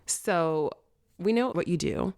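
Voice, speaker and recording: A woman's voice: lowish voice, expressive, slow, darkish tone. An American woman from New York City hosting a casual interview in an effusive, encouraging way. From New York City, USA. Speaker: podcast host interviewing a guest.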